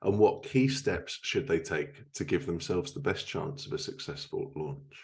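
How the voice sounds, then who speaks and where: A man's voice: deep voice, a little slow, darkish tone. A man hosting a podcast interview, asking questions and responding to his guest. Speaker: podcast host.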